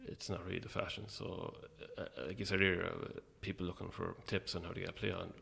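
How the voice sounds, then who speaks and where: A very low-voiced Irish man with a creaky, gravelly edge gives a relaxed interview. He speaks hesitantly, with dry, self-deprecating humour. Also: fast. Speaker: playwright interviewed as guest. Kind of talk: casual interview chat.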